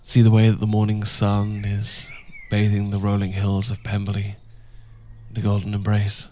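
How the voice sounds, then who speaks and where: A British man playing a tender, well-born Regency husband in a romantic ASMR roleplay, speaking softly and formally with affection, often dropping to a whisper. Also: fairly low pitch, monotone, normal speed, lightly acted. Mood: warm, courtly. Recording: noisy, birdsong and outdoor sounds behind. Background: birdsong.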